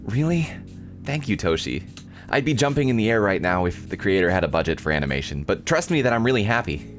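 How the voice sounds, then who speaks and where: A man's voice: fairly low pitch, sing-song, fast, soft background music. A man voicing a perky, earnest young anime girl in a visual novel, in an eager, gushing manner. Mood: cheerful. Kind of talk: visual novel character dialogue.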